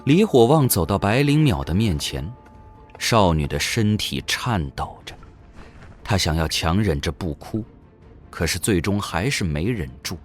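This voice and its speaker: A man reading scripted, dramatic narration for a fantasy audio drama as its narrator. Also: deep voice.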